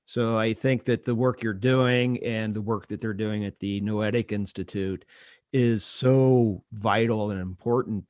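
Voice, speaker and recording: A man's voice: fairly low pitch, darkish tone, no echo. Man hosting a podcast, dry close sound. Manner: agreeable, brief backchannels. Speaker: podcast host. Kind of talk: casual interview conversation.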